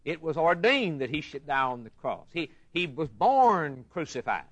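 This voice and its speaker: A man's voice: on the high side, sing-song, fairly bright tone. A man preaching a sermon as he expounds scripture, impassioned and emphatic, repeating phrases for weight. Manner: impassioned, emphatic, with deliberate repetition.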